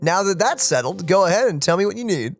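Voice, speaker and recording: A woman's voice: mid-range voice, expressive, quick pace. A woman streaming a let's play, casually commenting and reading game dialogue aloud. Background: game music.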